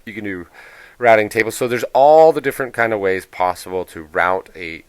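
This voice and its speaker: Low-voiced man giving a casual walkthrough. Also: animated, a little fast, smooth, dry recording. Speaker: software presenter.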